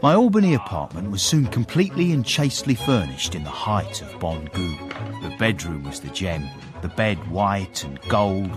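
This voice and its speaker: An actor playing a smug, courteous British manservant turned gentleman, telling his story in a genteel, formal way in a radio period drama. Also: sits low. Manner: genteel, self-satisfied, formal wording. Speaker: narrator and lead character.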